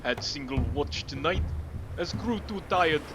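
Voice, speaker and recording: An actor playing a grave, dutiful sea captain who reads his ship's log in short, halting radio drama phrases. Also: a little high, lively intonation. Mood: solemn, terse. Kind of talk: radio drama acting, solemn terse log narration. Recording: noisy surf and ship ambience behind.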